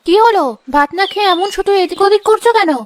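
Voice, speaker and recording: A woman's voice: very high voice, fast, faint music behind. A woman playing a bossy, nagging, hard-hearted village wife in a cartoon, scolding as she talks. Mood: nagging, irritated. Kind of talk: Bengali cartoon voice acting.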